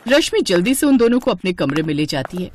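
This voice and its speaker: A woman's voice: lowish voice, big pitch swings, fast, darkish tone, a little hiss or hum. A woman reading a scripted moral story as its narrator.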